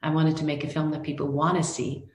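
A woman's voice: low voice, little pitch movement, fast talker, a little hiss or hum. A Norwegian woman, a film director, answering interview questions thoughtfully with many restarts and fillers. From Norway. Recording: echoey room.

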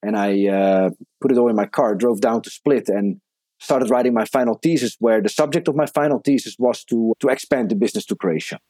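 A man's voice: medium pitch, a little fast, dark tone, no echo. A man in his thirties from the Netherlands with a Dutch accent, a native Dutch speaker, answering conversationally as an interview guest. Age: 30s. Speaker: podcast guest, entrepreneur.

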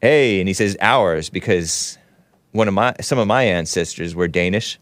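A man's voice: deep voice, normal speed, no room sound. A man hosting a casual livestream, reading viewer chat aloud with jokey asides and frequent chuckles. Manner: jokey, reads chat messages aloud in mock voices, chuckles often.

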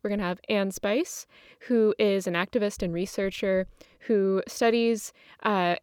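A woman's voice: medium pitch, clean tone, no echo, clean studio sound. A woman co-hosting a podcast in a casual, conversational style. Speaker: podcast host.

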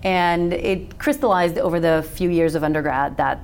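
A woman's voice: fairly low pitch, vocal fry, a little hiss or hum. A woman in her thirties who grew up in Macedonia and speaks Macedonian as her first language, a sex researcher chatting easily and animatedly as a podcast guest. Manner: chatty and animated, quick laughs. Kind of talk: casual interview conversation.

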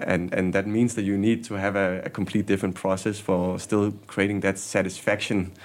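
A man's voice: sits low, little pitch movement, normal speed. A man, a guest in a casual interview, speaking candidly with frequent restarts and repeated words. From Denmark. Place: workshop basement.

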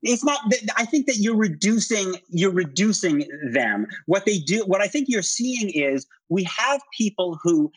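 Husky, higher-voiced middle-aged man debating politics. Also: big pitch swings, no echo, low-quality recording. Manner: insistent, halting with repeated phrases when pressing a point. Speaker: interview guest, political writer.

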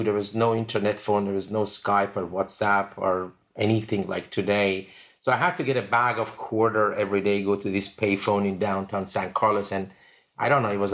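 A man's voice: lowish voice, a bit bright. A man in his fifties with an Iranian accent, telling personal stories conversationally as a podcast guest. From Iran. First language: Persian. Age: 50s. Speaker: podcast guest, venture capitalist.

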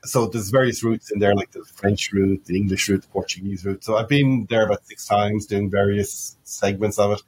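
A man's voice: on the low side, fairly even intonation, normal speed, few pauses, darkish tone, breathy edge, poor audio. An Irish man in his fifties giving an earnest, explanatory answer in a conversational podcast interview, often saying "you know". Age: 50s. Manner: earnest, explanatory, frequent 'you know'. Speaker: interview guest, customer support executive.

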